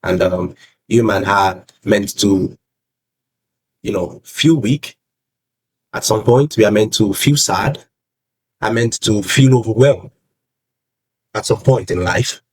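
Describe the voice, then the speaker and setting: Young Nigerian man, Yoruba accent, husky voice, dry close sound, earnest advice, repeats himself for emphasis. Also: fairly low pitch, slow, long pauses, darkish tone, no echo, poor audio. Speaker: vlogger. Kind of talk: personal advice talk to camera.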